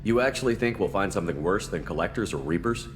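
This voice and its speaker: A man playing a calm, firm military commander in a video game, with a controlled, reasoning delivery. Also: low-pitched, fast talker, noisy background. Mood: frank, easy. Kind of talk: video game dialogue acting.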